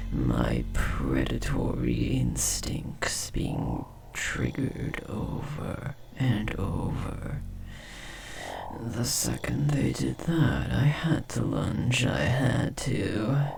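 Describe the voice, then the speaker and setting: Slow, gravelly, whispering insectoid parasite. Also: male voice, mid-range voice, lively intonation, darkish tone, soft background music, heavily acted. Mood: intense, predatory. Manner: slow, theatrical, crooning. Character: possessive male insectoid parasite, doting and menacing lover. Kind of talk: audio horror roleplay acting.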